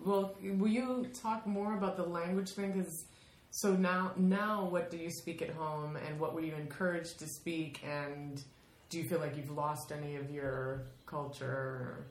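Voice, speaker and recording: A woman hosting a podcast, introducing guests and asking gentle questions. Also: fairly low pitch, steady tone, slow pace, echoey room. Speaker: podcast host. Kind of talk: casual interview.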